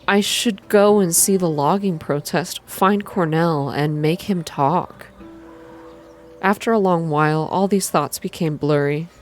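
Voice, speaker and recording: Low-pitched woman reading softly and calmly. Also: faint music behind. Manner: calm, slow, hushed. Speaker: reader of a visual novel for an online channel. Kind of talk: soft ASMR-style narrated reading.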